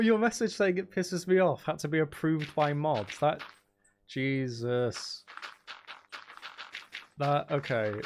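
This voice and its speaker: Sarcastic man streamer over clear background noise. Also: mid-range voice. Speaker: livestream host. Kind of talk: casual livestream chat.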